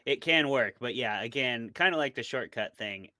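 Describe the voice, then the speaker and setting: A man's voice: medium pitch, lively intonation, normal speed, pushed and bright. An American man chatting casually and informally as an interview guest, giving his opinions with some hedging. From small rural town in United States. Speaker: guest, author and podcast co-host.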